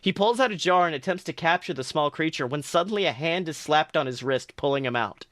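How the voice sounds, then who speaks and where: A man reads fan fiction aloud as a podcast host, with lively, dramatic narration. His voice sits a little above average for a man. The recording is dry, with no room sound. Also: on the high side, a little fast, no echo.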